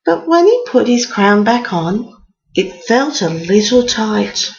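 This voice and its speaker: A woman's voice: a little high, very animated, slow pace, gravelly, muffled recording. A woman storyteller reading a rhyming picture book aloud as a bedtime story.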